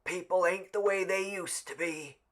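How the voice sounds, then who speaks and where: Man doing a high-voiced grumbling old villager. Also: steady tone, normal speed, fairly bright tone. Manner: raised, clear, folksy grumbling.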